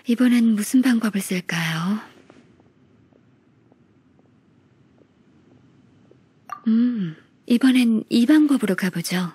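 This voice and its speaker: Composed, courteous senior secretary. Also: female voice, medium pitch, darkish tone, slightly rough, no echo. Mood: assured. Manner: polite, measured, matter-of-fact. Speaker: game character voice actor. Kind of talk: video game story voice acting.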